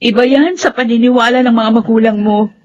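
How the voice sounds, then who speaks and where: A woman's voice: a little high, steady tone, brisk, close to an everyday voice. A woman playing a sorrowful, resigned young woman with a Filipino accent, in an emotional radio drama style. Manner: emotional, respectful to elders. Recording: slightly dull, soft music underneath.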